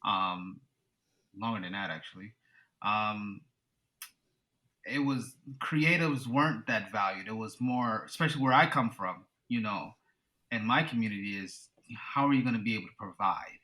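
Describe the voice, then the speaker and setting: Man, 30s, from the US, podcast guest, low-ish pitch, bright forceful tone, thoughtful, says "you know" a lot. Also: lively intonation, moderate pace, low-quality recording. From United States. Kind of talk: casual conversation.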